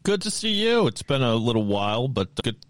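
A man co-hosting a podcast over a video call, deep and bassy, joking playfully. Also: very animated, fairly quick, no room sound. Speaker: co-host and announcer. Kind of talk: casual podcast banter.

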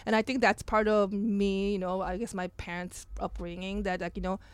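A woman's voice: medium pitch, little pitch movement, moderate pace, clean tone, dry recording. A woman, a chef talking candidly as an interview guest, full of restarts and fillers. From Korea. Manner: candid, restarts often, many "like" and "you know". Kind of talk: casual onstage conversation.

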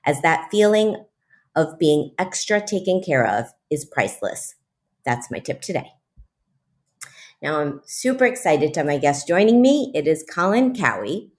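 A woman hosting an interview podcast, reading introductions and talking with her guest. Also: on the low side. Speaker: podcast host.

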